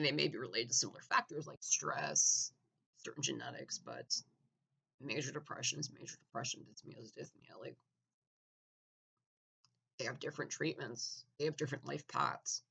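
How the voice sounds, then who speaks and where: A man giving a blunt, argumentative opinion monologue, full of "like" fillers and restarts, clearing his throat now and then. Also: medium pitch, normal speed, sharp tone, poor audio. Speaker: opinion vlogger.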